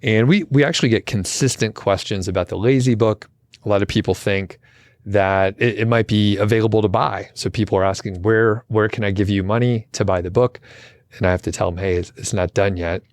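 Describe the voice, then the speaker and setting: A low-voiced man chats casually through a podcast intro, wandering off on self-deprecating tangents. His voice carries a slight gravelly edge from recent overuse. The sound is dry and close. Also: steady tone, fairly quick, dry recording. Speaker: podcast co-host. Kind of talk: casual solo intro chat.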